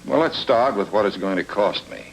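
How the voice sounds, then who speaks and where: An actor playing a cool, wisecracking, world-weary private detective in clipped old-time radio noir style. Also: male voice, on the low side, animated, normal speed, creaky, noisy background. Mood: sardonic.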